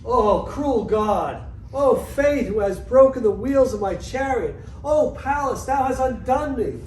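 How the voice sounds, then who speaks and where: A man's voice: very high voice, lively intonation, measured pace, darkish tone. A man acting a luckless, self-pitying moneylender in an amateur reading of a classical comedy, plaintive and melodramatic. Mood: woeful. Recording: echoey room, distant mic, faint noise.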